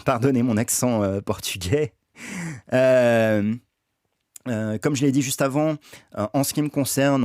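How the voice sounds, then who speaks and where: A man's voice: mid-range voice, a little mellow, no echo, clean studio sound. A man hosting a livestream reading session, talking casually with many hesitations and restarts. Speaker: stream host reading a book aloud.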